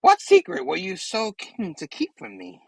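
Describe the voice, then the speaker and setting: A man's voice: sits high, wildly swooping pitch, moderate pace, vocal fry, darkish tone, slightly rough, dry recording, voice pushed up, full character voice, poor audio. A man voicing a gleeful, taunting goblin supervillain from a comic book in a theatrical, mocking way.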